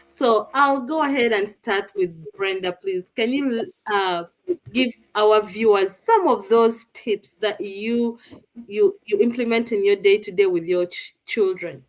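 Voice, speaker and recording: A woman's voice: mid-range voice, measured pace, poor audio. A woman from Uganda hosting a live online panel over a video call, upbeat and chatty, speaking straight to the viewers. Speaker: live show host.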